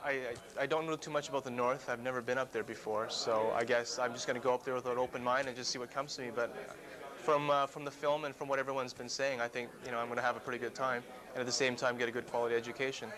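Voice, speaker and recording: A young man, a prospective student, chatting casually in a relaxed, rambling way with plenty of fillers. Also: mid-range voice, fast. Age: young adult. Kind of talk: casual interview. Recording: crowd noise behind.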